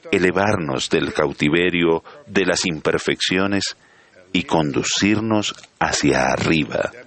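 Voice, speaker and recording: Man, extremely low voice, solemn measured sermon. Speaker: church leader giving a sermon.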